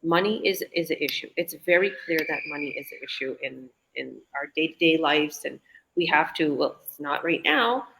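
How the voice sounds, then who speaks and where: A woman's voice: deep voice, animated, normal speed, creaky, fairly bright tone, a little hiss or hum, rough recording. Chatty woman on a video call. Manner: chatty, enthusiastic, many restarts. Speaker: online business owner. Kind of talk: casual group video call.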